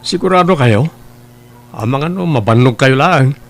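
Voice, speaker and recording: A man's voice: medium pitch, animated, normal speed, natural voice. A man playing an earnest, dutiful grown son in an Ilocano family drama, plain-spoken with an Ilocano accent. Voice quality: creaky. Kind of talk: Ilocano radio-style family drama acting.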